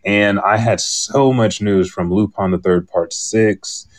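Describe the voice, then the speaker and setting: A man's voice: fairly low pitch, fairly even intonation, slow pace. A man who podcasts, talking casually as a guest on a live show.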